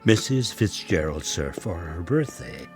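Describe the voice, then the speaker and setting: A meek manservant in a radio drama. His voice is low and moves up and down a lot, with formal, hesitant, deferential phrasing. Faint music sits underneath. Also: animated, a little slow. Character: deferential, hesitant male household servant.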